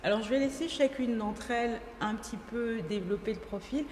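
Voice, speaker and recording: Lively woman moderator in an echoey hall. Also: on the high side, a little fast. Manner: guiding, lively, rephrases her questions. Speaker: moderator, interviewer. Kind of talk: event moderating and interviewing. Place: large event hall. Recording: echoey hall, faint room noise.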